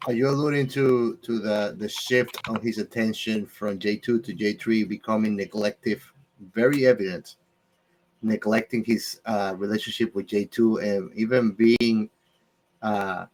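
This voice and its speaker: A man's voice: on the low side, steady tone, a little slow, poor audio. A man on a discussion podcast panel, talking casually and searching for his words as he thinks aloud.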